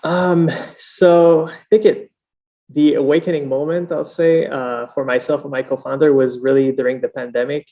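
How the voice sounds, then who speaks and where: Gravelly French-Canadian man in an interview. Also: on the high side, little pitch movement, normal speed, dark tone. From Montreal, Canada. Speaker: podcast guest, startup founder. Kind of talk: casual interview talk.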